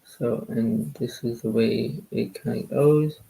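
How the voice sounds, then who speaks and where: A soft-spoken man, a little hoarse, hosting a card game and rambling in repeated filler phrases. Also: medium pitch, steady tone, takes it slowly, low-quality recording. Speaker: channel host playing cards. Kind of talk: casual rambling talk to camera.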